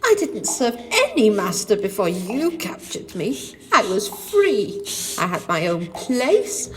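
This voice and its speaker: A woman's voice: medium pitch, huge pitch swings, slow pace. A woman playing an aggrieved captive goose in an audio pantomime, plaintive and melodramatic. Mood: indignant. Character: aggrieved captive female goose, indignant and put-upon. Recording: long echoing dungeon reverb.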